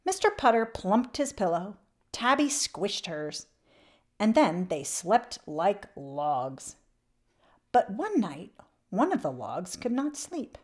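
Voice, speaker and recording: Woman, storybook read-aloud, very lively intonation, expressive, emphatic swells and hushed drops. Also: medium pitch, slow pace. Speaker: children's read-aloud channel host.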